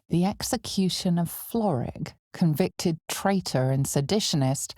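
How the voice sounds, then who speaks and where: A woman playing an ominous, knowing narrator of a dark fantasy video game, speaking in a measured, dramatic, hushed way. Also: low voice, creaky, dry recording, clean studio sound, heavily acted.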